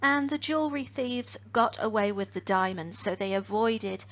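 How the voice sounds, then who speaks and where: A woman teaching phrasal verbs in an online lesson. She speaks in a clear, deliberate way and lifts each new phrasal verb. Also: medium pitch, a bit bright, muffled recording. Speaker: English teacher.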